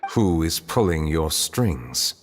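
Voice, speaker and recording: A stern, reserved chief justice in a video game. His voice is very low, formal and authoritative. It rings with long reverb over music. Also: very animated, slow, exaggerated voice. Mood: interrogating.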